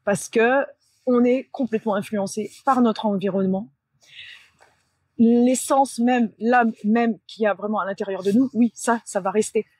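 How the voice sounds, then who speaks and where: A woman with a slightly husky voice talks casually to viewers, explaining things in a halting way with self-corrections. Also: on the high side, darkish tone, dry recording. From France. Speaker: channel host and spiritual coach. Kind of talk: casual vlog talk to viewers. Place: outdoors beside a large tree near a road.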